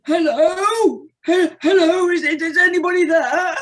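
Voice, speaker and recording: An actor playing a nervous, gullible, excitable toad who stammers in a children's audio play. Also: extremely high pitch, measured pace. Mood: nervous, startled. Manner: flustered, stammering. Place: living room at home, recorded over video call.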